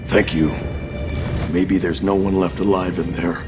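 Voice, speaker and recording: Casting: weary servant in fantasy game, low voice, grave and bitter, over music. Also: male voice, little pitch movement, measured pace. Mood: grim, bitter. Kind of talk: dramatic video game voice acting.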